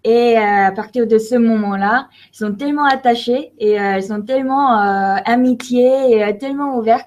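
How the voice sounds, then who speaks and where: A woman's voice: fairly high pitch, normal speed, a little mellow. A woman in her twenties whose first language is Korean, a university student speaking French in a casual video message. She talks hesitantly, with many fillers, searching for words.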